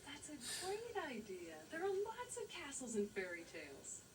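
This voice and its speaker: A woman presents a children's educational show, explaining things clearly as if to young children. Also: high voice, huge pitch swings, normal speed, distant mic, noisy background. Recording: loud music behind, old VHS tape. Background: music.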